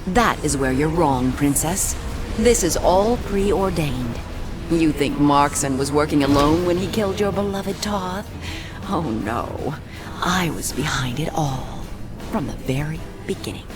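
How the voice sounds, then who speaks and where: Game villainess: low, menacing, deliberate, gloating schemer. Music underneath. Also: female voice, lively intonation. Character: cold scheming female villain of a religious order. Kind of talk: video game cutscene voice acting. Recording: music behind the voice.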